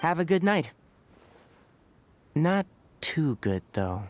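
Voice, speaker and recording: A man playing a calm, scholarly young forest ranger in a video game, caring but principled, speaking in a measured, precise way. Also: on the high side, a little hiss or hum. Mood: reassuring, practical. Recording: faint music behind the voice. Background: soft background game music.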